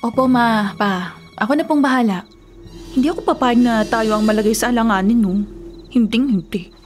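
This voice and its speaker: A woman plays a sweet young woman in a radio drama. Her voice is high and coaxing, that of a dutiful daughter with a reassuring way. Music sits faintly behind her. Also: normal speed, close to an everyday voice. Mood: determined, dutiful. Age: young adult. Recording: music bed under the voice.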